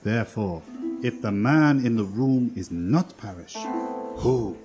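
A fussy belgian detective, french accent, slow and swooping, very sure of himself, old radio play. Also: male voice, fairly low pitch, lively intonation. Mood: self-satisfied, explaining. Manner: precise, theatrical, with rhetorical tags. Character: courteous, self-assured Belgian male detective, fussy and theatrical. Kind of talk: 1940s radio acting. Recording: 1940s radio broadcast with music.

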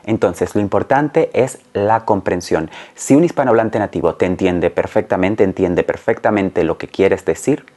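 Low-voiced man teaching to camera. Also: moderate pace, hardly any pauses, dark tone. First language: Spanish. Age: 40s. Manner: clear, careful, didactic phrasing. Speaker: Spanish teacher and channel host. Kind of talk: explanatory language lesson to camera. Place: small room at home, indoors.

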